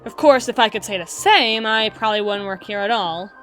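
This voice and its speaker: A woman playing a wistful, guarded female half-spider bouncer in an intimate roleplay monologue, musing with dramatic pauses and soft trailing phrases. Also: mid-range voice, faint music behind, close to an everyday voice. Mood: bitter, resigned. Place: close-miked recording with music playing behind.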